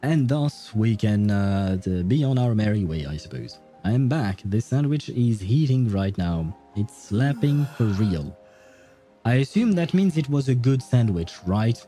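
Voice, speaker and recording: A man's voice: lowish voice, sing-song, unhurried, dark tone, soft background music. A man giving casual, chatty and opinionated commentary as he livestreams a game.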